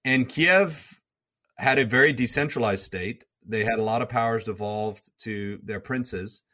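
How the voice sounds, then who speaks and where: A man's voice: medium pitch, a little slow, pushed and bright. A man speaking as an interview guest, explaining history at length in a lecturing way, often repeating and restarting words. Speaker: guest, former troop commander and history professor.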